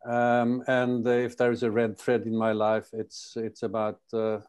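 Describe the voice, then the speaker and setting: An older man speaking as a panelist on a video call from a home study, unhurried and reflective with hesitant pauses. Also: mid-range voice, monotone, darkish tone, smooth. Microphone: video call webcam.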